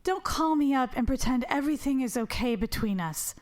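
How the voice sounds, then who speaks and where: Guarded, sardonic woman, higher voice. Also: animated, a little fast, darkish tone. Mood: hurt, confrontational. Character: guarded, wounded, sardonic woman rebuilding her life. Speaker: actress in audio drama. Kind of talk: naturalistic radio drama acting.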